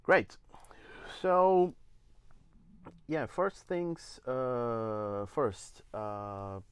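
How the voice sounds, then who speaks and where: A man in his forties from Brazil, speaking English with a Brazilian Portuguese accent, walking through a software demo step by step with many "uh"s and restarts. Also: medium pitch, big pitch swings, drawn-out words, clear, resonant tone, dry recording. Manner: explains step by step with frequent "uh" and restarts. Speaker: engine evangelist giving a demo. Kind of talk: live software tutorial. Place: indoor studio.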